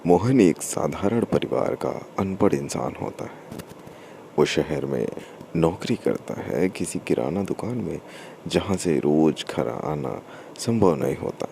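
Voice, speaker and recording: A man with a very low, creaky voice tells a scripted story. He goes in short, halting phrases, and his tone is dark and mellow. There is some background noise. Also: animated. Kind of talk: scripted story narration in Hindi.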